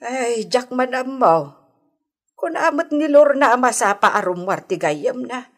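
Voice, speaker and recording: Slow-talking, fretful, suspicious mother. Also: a little high, big pitch swings. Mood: worried. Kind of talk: Ilocano radio drama acting. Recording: noisy old radio recording.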